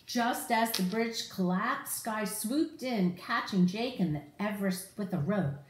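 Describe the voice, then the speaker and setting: A middle-aged woman reading a picture book aloud to children in an expressive, direct way. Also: mid-range voice, a little slow, echoey room, slight background noise. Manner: animated, addressing children directly. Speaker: storyteller for children. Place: room in a home, indoors.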